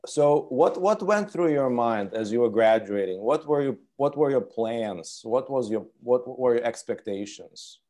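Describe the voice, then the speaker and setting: A man in his thirties who is a non-native English speaker, hosting a conversational interview over a video call in a halting, rephrasing way. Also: mid-range voice, expressive, dark tone. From outside Canada. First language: not English. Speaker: interview host.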